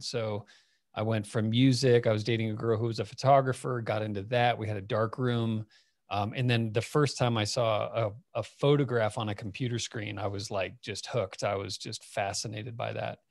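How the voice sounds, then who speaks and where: A man in his late forties, who grew up in Phoenix, talks through a headset from a home office. His voice is mid-pitched and the recording is dry and close. He tells long stories at an easy pace and fills his pauses with "um". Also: gravelly, no echo. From Phoenix, Arizona. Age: 40s. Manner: relaxed, rambling, many fillers. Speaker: guest, company founder. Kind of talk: casual storytelling interview. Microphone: headset over video call.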